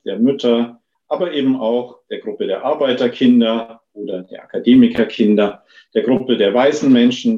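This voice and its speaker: A man gives an explanatory expert interview answer, measured and academic, listing points one after another. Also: mid-range voice, mellow. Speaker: expert interviewee, institute director. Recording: dull, echoey room recording.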